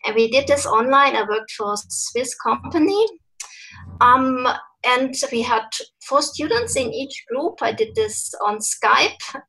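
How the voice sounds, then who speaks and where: A woman's voice: sits high, animated, unhurried, bright, forceful tone, low-quality recording. A woman who teaches German, opening and moderating an online panel with many hesitant fillers. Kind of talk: panel introduction.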